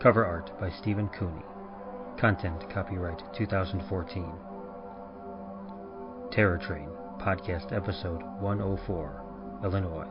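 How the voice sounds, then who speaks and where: A man, the podcast's host and narrator, reading production credits in a measured, even announcer style. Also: low-pitched. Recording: dull lo-fi with music behind.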